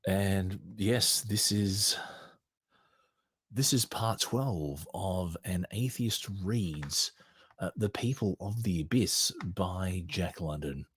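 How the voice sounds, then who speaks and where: A man's voice: low-pitched, slow pace, slightly rough, no room sound. An Australian man hosting a podcast with casual, rambling commentary, often hesitating as he searches for words. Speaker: podcast host. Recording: loud car-like background noise.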